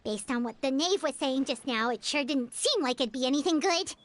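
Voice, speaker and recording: An actress voicing a tiny, childlike female companion creature in a video game, squeaky, earnest and anxious. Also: animated, fairly quick. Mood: worried. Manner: speaks of herself in third person, earnest.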